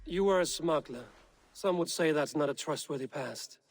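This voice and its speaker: A calm, probing Viking hero. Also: male voice, fairly high pitch, normal speed. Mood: questioning. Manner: calm, direct, questioning. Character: probing male Viking hero investigating a betrayal. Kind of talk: game dialogue.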